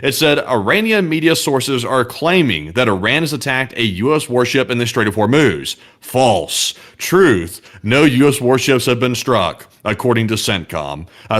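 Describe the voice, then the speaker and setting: A man's voice: medium pitch, fairly bright tone. A man hosting a live news commentary stream, talking in a conversational, hedging way with many fillers. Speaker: host.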